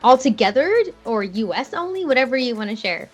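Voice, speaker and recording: Bubbly podcast host woman, bright higher voice, faint music under her. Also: sing-song, a little fast, muffled recording. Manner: bubbly, affirming, many fillers. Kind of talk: casual interview chat.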